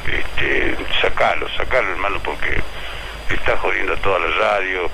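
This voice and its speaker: A man with an Argentine accent complaining in a blunt, exasperated, casual way, with a gravelly voice. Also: low-pitched, normal speed, background noise.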